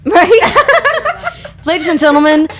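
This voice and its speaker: A woman's voice: extremely high pitch, big pitch swings, slow, fairly bright tone. A woman hosting a casual radio talk show in a playful, teasing way, on a noisy live webcast.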